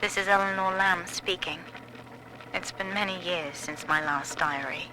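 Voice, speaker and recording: A woman's voice: lowish voice, unhurried, music in the background, natural voice, poor audio. A woman playing a troubled, haunted young woman in a confessional, articulate recorded diary monologue. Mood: troubled, candid.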